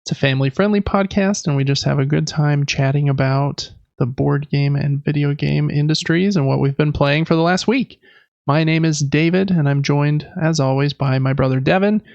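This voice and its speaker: Male podcast co-host, easygoing and chatty, gravelly fry in the voice, dry and slightly lo-fi sound. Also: on the high side, normal speed, a little mellow, dry recording. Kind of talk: casual chat.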